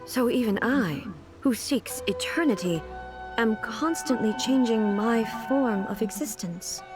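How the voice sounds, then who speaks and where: A woman voicing a dignified immortal ruler in a video game, speaking in a measured, formal, thoughtful way. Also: a little high, animated, over music. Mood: solemn, reflective.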